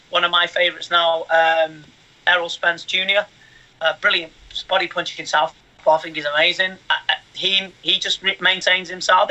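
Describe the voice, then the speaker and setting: A man with a high voice and a very sharp, bright, forceful tone. He speaks earnestly as an interview guest, often breaking off and restarting sentences with fillers as he makes his point. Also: low-quality recording. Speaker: guest, mind coach and commentator. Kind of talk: casual interview answers.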